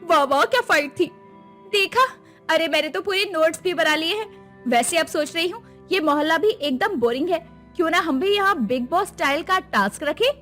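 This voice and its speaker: A woman voicing an excitable, nosy, gossipy neighbour in a comic family story. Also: extremely high pitch, normal speed, faint music behind. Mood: excited. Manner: gushing, gossipy.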